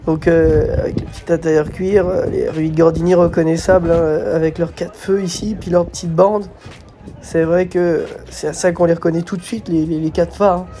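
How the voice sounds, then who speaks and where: A man's voice: a little high, little pitch movement, normal speed, dark tone. A man with a slight head cold gives casual vlog commentary as a host, hesitating and repeating words as he goes.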